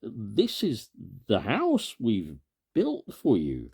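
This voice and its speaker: A man doing eager, high-pitched storybook lost boys. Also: wildly swooping pitch, very slow, darkish tone, slightly rough, heavily acted.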